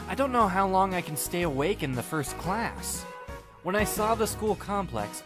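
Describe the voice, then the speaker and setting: A man narrates a first-person story in a fairly high voice with very animated swings. His delivery is wry, dramatic and self-deprecating. Music plays clearly behind him. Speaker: audiobook narrator playing the first-person lead. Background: background music.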